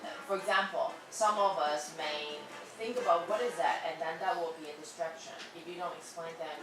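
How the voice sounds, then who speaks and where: A woman teacher giving classroom feedback in a fairly monotone voice, often checking "okay?" as she goes. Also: fairly low pitch. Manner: ends many sentences with a checking "okay?". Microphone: far from the mic. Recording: echoey room, far off, loud music behind. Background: loud music.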